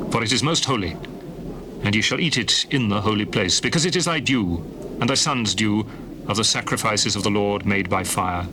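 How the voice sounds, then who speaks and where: A man playing a stern, solemn biblical prophet, speaking gravely and with command in a dramatized scripture reading. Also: lowish voice, noisy background, close to an everyday voice.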